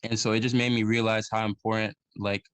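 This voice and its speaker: A man's voice: fairly low pitch, flat delivery, a little fast, a bit bright, clean tone, dry recording. A young man, a program alumnus, talking casually about a reading with many filler words. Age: young adult.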